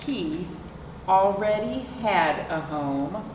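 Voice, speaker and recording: Slow, animated woman reading a children's story. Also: mid-range voice. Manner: expressive, asks the listeners questions. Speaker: librarian hosting a children's storytime. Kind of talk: picture-book read-aloud with asides to young children. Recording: roomy, distant microphone, clear background noise.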